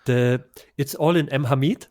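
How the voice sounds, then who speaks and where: A man's voice: mid-range voice, normal speed, darkish tone, no echo. Enthusiastic male travel guest, dry sound. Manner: enthusiastic, effusive recommendations with small laughs. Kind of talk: conversational travel interview.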